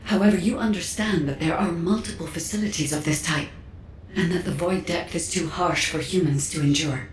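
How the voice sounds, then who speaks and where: Woman playing formal AI guide, low calm voice, echoey sound. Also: normal speed, slight background noise, natural voice. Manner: formal, precise, unemotional. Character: calm artificial guide entity, female, measured. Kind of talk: game cutscene dialogue.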